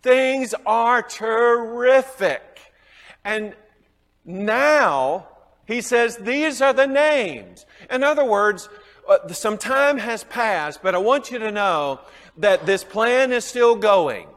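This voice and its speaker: A man's voice: very high voice, expressive, slow, fairly bright tone, long reverb tail. A man with a Southern US accent teaching a Bible class, chuckling often and quizzing his students.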